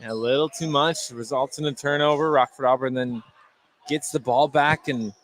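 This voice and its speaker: A man's voice: mid-range voice, steady tone, moderate pace, a little hiss or hum, rough recording. A man giving informal, hesitant color commentary with many fillers on a live basketball game. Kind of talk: informal live sports analysis.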